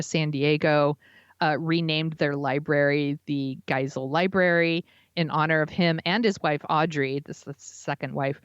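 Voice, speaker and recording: A woman's voice: low voice, smooth, no echo, highs cut off. A woman co-hosting a podcast in casual chat, with an informal, enthusiastic manner. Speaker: podcast co-host.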